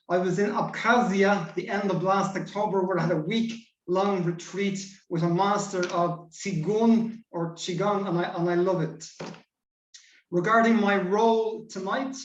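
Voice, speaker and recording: A man with an Irish accent introducing himself as the grammarian at a speaking club meeting, in a friendly, measured way. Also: high-pitched, roomy sound, far from the mic, highs cut off. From Ireland. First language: English.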